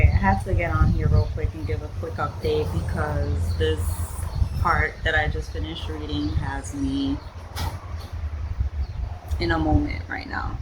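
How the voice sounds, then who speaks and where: Slow-talking, sassy New woman, noisy recording. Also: lowish voice. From the Bronx, New York. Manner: blunt, sassy, emphatic, repeats phrases for effect. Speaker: book vlogger. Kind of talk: casual reading vlog rant. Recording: noisy home vlog recording.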